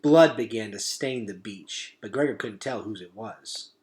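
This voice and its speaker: A man's voice: fairly low pitch, lively intonation, unhurried, a little mellow. A man reading a children's novel aloud as a storyteller, with dramatic, suspenseful delivery.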